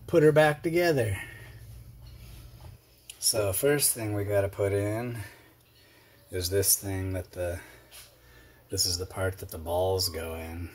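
A man's voice: on the low side, darkish tone, a little hiss or hum. A middle-aged man casually narrating a workshop how-to in his garage, rambling and chatty with lots of fillers, his hands busy on the repair. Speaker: garage video host. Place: cluttered home workshop garage.

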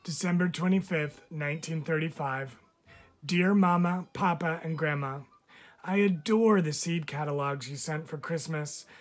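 A man, a teacher, reads a picture book of letters aloud with expressive, measured phrasing. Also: on the high side, faint music behind. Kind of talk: storybook read-aloud of letters.

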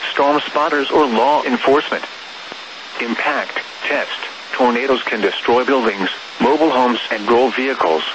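Noisy radio broadcast, male weather announcer, medium pitch, scripted alert, measured, impersonal, formal.

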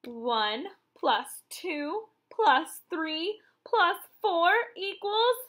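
Female teacher, 30s, very high bright voice, animated picture-book read-aloud for little kids. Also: drawn-out words. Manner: animated, exaggerated wonder, addressing young children with questions. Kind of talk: children's read-aloud counting lesson.

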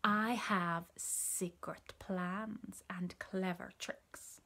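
A woman voicing an enormous male crocodile in a children's story, sly and menacing, drawing out words with greedy relish and breathy hisses. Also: medium pitch, steady tone, extremely slow, bright, forceful tone, heavily acted. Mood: sly delight.

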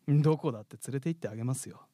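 A man's voice: mid-range voice, very fast, warm tone, dry recording, clean studio sound, natural voice. A man playing a cocky, carefree, teasing katydid in a theatrical radio drama style. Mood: coaxing. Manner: theatrical, mock-polite turning taunting. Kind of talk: live radio drama acting. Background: music.

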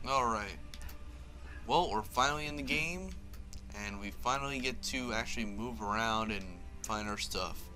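A man's voice: mid-range voice, moderate pace, sharp tone. A man giving casual, wry gameplay commentary. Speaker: gaming video host. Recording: game music behind. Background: video game music and sounds.

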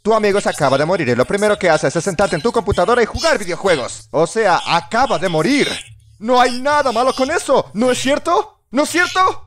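A man's voice: sits high, animated, brisk, a bit bright. A man narrating a scripted comedy parody in a sarcastic, exaggerated, exclamatory manner. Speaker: fandub narrator. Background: music.

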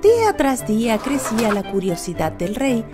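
Animated woman storyteller over prominent music. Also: a little high, normal speed. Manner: expressive, storytelling delivery. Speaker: narrator. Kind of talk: scripted children's storybook narration. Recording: music behind the voice.

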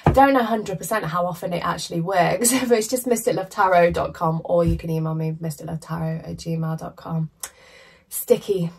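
A woman in her thirties doing a chatty, emphatic tarot reading to camera in a small room, her voice going hoarse. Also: lowish voice, steady tone, fairly quick, a little mellow, distant mic, a little hiss or hum. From United Kingdom. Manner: chatty, emphatic, casual swearing. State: losing her voice, coughing. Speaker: tarot reader. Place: small decorated room indoors.

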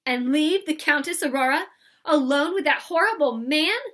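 A very high-voiced little girl played by a woman reading aloud, bold and soft-hearted at once. She blurts out whatever she feels, openly and bluntly, as in a children's storybook. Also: normal speed. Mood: defiant. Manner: emotional, blunt, unguarded.

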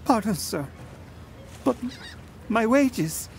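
Anxious young laborer, high voice, audio drama, faint background ambience. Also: male voice, expressive, very slow, creaky, a little hiss or hum, full character voice. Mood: apologetic.

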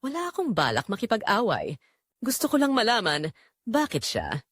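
A woman's voice: medium pitch, huge pitch swings, moderate pace, a bit bright, husky, no room sound, studio quality, heavily acted. Woman voicing a hurt, pleading young male suitor.

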